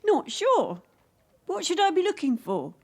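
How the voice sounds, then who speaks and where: An actress playing a determined, defiant young gymnast in a radio drama, earnest and insistent. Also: female voice, extremely high pitch, wildly swooping pitch, a little slow, darkish tone, full character voice. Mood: guarded.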